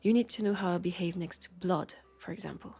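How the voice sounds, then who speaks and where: Actress for wary old vampire detective, female, French accent, husky breathy voice, pensive roleplay delivery over background music. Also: fairly low pitch, quick pace. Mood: guarded, firm. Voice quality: breathy, soft. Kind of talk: intimate scripted audio roleplay, addressed to listener. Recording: music under the voice.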